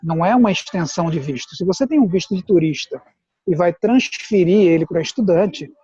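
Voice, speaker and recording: A man explains things in a steady, deliberate way as a guest adviser. His voice is high yet mellow in tone. The audio is dry and dull, with the muffled, lo-fi sound of a video call. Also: breathy edge, no room sound. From Pernambuco, Brazil. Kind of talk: explanatory chat.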